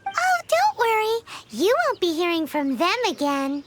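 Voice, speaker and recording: Super squeaky bossy little fairy sidekick, bouncy voice, noisy game audio. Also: female voice, huge pitch swings, unhurried, full character voice. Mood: cheeky. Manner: bossy, chirpy, speaks of herself by name. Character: tiny floating fairy-like girl companion, chatty and cheeky. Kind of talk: game dialogue.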